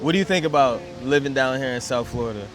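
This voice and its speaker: A man hosting an interview show, energetic and upbeat, swinging between loud hype and low, relaxed asides. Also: quick pace, over music. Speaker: show host.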